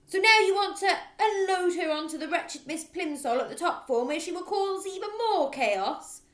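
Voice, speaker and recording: Woman acting a storybook tyrant headmistress, loud barking delivery, contemptuous, wildly swooping pitch, roomy echo. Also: lively intonation, moderate pace. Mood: snapping, scornful. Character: bullying, child-hating tyrant headmistress, middle-aged woman.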